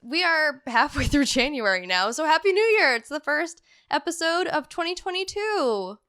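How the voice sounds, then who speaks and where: Stuffy-voiced bright woman in her thirties. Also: high voice, animated, normal speed, dry recording, studio quality. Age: 30s. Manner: chatty, joking, laughs often. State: stuffy from a bad cold. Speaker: podcast co-host. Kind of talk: casual chat.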